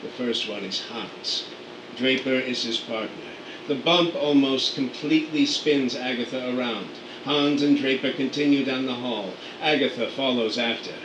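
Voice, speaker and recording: A man reads stage directions in a brisk, matter-of-fact way. He sits back from the mic in an echoey room, with faint hiss under him. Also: mid-range voice, recorded from a distance. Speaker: narrator.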